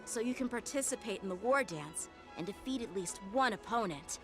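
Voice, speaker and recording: A woman's voice: mid-range voice, animated, a little fast, lightly acted. A woman voicing an earnest, proud teenage boy sword master in an anime game, formal and self-assured, with music behind. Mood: firm, instructive. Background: game background music.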